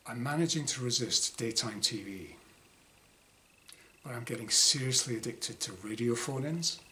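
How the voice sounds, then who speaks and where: Male author-reader, mid-pitched and bright, wry exasperated diary monologue. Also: normal speed. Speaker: author reading own short story. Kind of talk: dramatised reading of a first-person diary story. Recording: clear background noise.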